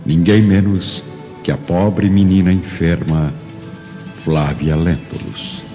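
Deep, solemn male narrator in long reverb. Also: slow. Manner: solemn, theatrical. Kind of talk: scripted dramatic narration of a radio drama. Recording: long reverb, dull audio, music behind.

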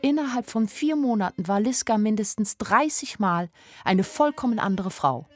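An actress plays a young village woman narrating a radio drama. Her voice sits at a medium pitch, and she tells things plainly, with naive, wry observation. Music runs underneath the studio recording. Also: lively intonation, moderate pace, darkish tone, lightly acted. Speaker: narrator and main character of a radio play. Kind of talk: first-person radio play narration in a literary adaptation.

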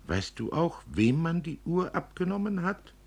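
An urgent young girl of a radio drama, voiced by a man, with a quick temper and emphatic questions. The voice is lifted well above the performer's own and has a husky edge. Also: fairly high pitch, sing-song, a little mellow, pitched above the performer's own voice, full character voice. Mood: coaxing, urgent. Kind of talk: radio play literary reading.

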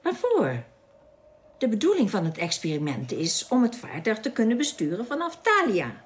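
A woman's voice: fairly high pitch, huge pitch swings, normal speed. A woman playing a resolute alien in a science-fiction radio drama, explaining things decisively. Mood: composed, decisive. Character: calm, resolute alien woman helping spacemen escape.

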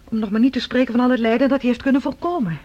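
An actress playing the earnest, formal adult daughter of a scientist in a radio drama, speaking persuasively. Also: female voice, a little high, rapid-fire, warm tone, close to an everyday voice. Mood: earnest, defensive. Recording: dull, lo-fi radio recording.

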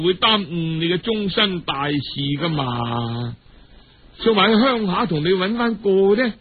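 A man's voice: on the high side, husky, heavily acted. A man voicing a scheming middle-aged village aunt in a storytelling piece, coaxing and sighing in colloquial Cantonese. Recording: muffled, phone-like old radio recording.

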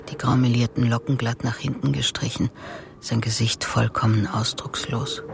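Composed, probing investigator. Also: female voice, sits low, vocal fry, darkish tone, a little hiss or hum. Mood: hushed, reflective. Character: probing female police investigator, composed and relentless. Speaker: interrogator and narrator. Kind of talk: German radio drama acting with introspective narration.

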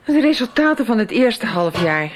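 A woman's voice: on the high side, sing-song, normal speed, a little hiss or hum. An actress playing a stern, harried mother who runs the family business, in a radio soap. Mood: stern, exasperated.

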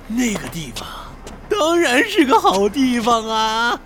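Creepy thug guy played by a man in audio drama, slow teasing drawl, pitch all over the place. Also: wildly swooping pitch, full character voice. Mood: leering menace. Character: leering, menacing male thug harassing a young woman.

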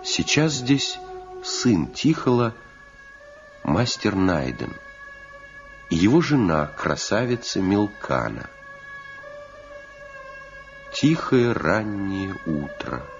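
An actor playing a solemn storyteller, narrating a radio drama in a deliberate, evocative style. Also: male voice, on the low side, very animated, creaky, heavily acted. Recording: muffled old radio recording. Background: music.

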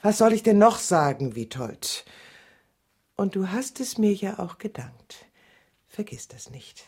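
A woman's voice: medium pitch, very animated, unhurried, darkish tone, slightly rough. An actress in a radio drama playing a lovesick, scheming insurance clerk in her fifties, intense and bitter, moving between pleading and insistence. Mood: intense, reproachful. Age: 50s. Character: lovesick, scheming, defensive insurance clerk woman, fifty-two. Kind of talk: radio drama acting, dialogue and confessional monologue.

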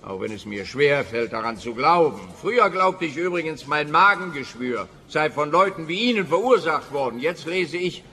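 A man's voice: on the high side, lively intonation, moderate pace, hardly any pauses, sharp tone, in a large hall. An actor playing a grumpy, weary, sarcastic policeman who grumbles sardonically in a radio play. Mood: weary, sardonic. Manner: sardonic, grumbling, long-suffering.

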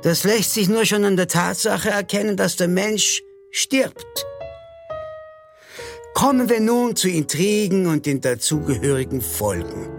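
An actor playing a grandiose, mock-solemn stage presenter in a radio comedy, wryly addressing an audience. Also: male voice, high voice, animated, unhurried, faint music behind. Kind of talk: theatrical announcing in a radio comedy.

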